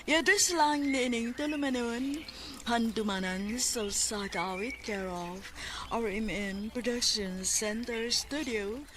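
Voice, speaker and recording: A woman's voice: on the high side, takes it slowly. A woman with a Cebuano Filipino accent presenting a scripted radio drama programme as its host. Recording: noisy radio broadcast recording.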